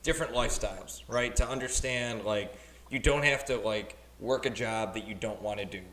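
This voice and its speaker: A man's voice: on the low side, moderate pace, fairly bright tone. A man in his thirties hosting a podcast, chatty and encouraging in a casual interview. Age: 30s. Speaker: podcast host.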